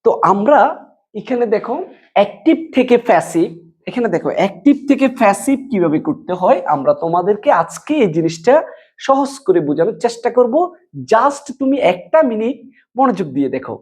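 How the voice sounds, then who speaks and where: A woman teaches a class in a lively, swooping intonation. Her voice is husky and sits at a middle pitch for a woman. She explains things step by step, repeating key points and posing questions to her students. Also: normal speed. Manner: repetitive, question-and-answer explaining. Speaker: English grammar teacher. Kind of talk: board lesson addressed to students.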